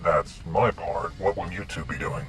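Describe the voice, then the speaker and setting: A man's voice: low voice, moderate pace, lightly acted. A man voice-acting a brash, sardonic, seasoned commander in a video game. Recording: music under the voice.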